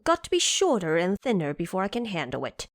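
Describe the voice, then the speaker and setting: A woman voicing a brash, cocky male monkey king in an audio drama, boastful and playful, jumping high on excited words. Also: fairly quick, dry recording, clean studio sound. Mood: pleased.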